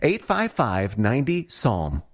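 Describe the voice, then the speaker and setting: A man's voice: medium pitch, huge pitch swings, unhurried. A man delivering a scripted advertisement in a conversational, persuasive style. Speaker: advertisement announcer.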